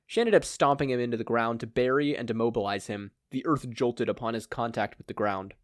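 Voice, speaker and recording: Dry, mid-pitched male story narrator. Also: a little fast, no room sound. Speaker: podfic narrator.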